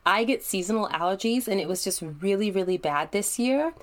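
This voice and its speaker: A woman's voice: medium pitch, lively intonation, brisk. A young adult woman hosting her own podcast, talking in an upbeat, conversational and encouraging way. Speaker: podcast host. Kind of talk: solo podcast monologue.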